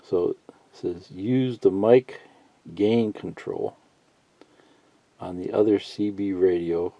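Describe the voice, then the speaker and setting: A muffled, lo-fi recording of a man with a very dark, mellow voice. He walks through a hobby how-to casually, pausing to think out loud and putting things in folksy, simple terms. His delivery is slow and unscripted. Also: low voice. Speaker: hobbyist instructor. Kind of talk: casual, unscripted how-to tutorial, reading bits of instructions aloud. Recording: muffled lo-fi sound, roomy echo.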